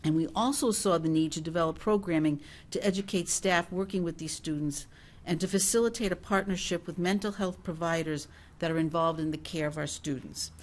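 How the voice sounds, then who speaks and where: A woman working as a school nurse, reading a prepared report aloud at a meeting in a formal, measured way. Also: fairly low pitch. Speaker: school nurse presenting a project. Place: mid-sized meeting room with seated public. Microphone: gooseneck table microphone.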